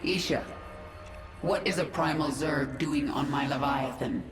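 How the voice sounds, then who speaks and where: A woman's voice: sits low, a little slow. A woman playing a formidable alien swarm queen in a video game, speaking with cool, dry, threatening authority. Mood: cool, assured. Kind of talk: video game cutscene acting. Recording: roomy echo, music behind.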